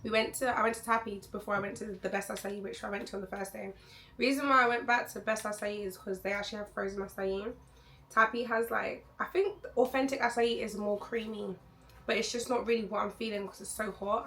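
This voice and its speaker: A young woman vlogger, hoarse from losing her voice, chatting to camera in a rambling, candid way. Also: medium pitch, fairly even intonation, fairly quick, fairly bright tone. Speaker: travel vlogger. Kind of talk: casual chat to camera.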